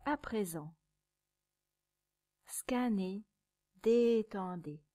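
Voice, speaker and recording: A woman teaching yoga nidra, guiding a meditation in a calm, measured, soothing way, with a soft, sometimes breathy voice and pauses between short phrases. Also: mid-range voice. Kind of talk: guided meditation.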